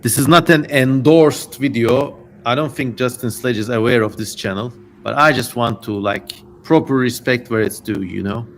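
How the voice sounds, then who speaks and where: A male livestream host speaks in a medium-pitched voice over noticeable background noise. He reads aloud with theatrical flair and drops in casual, rambling commentary. The audio has the feel of an amateur streaming microphone. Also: moderate pace, hardly any pauses. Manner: digressive, informal asides amid theatrical reading. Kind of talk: casual livestream chat with dramatic reading and running commentary.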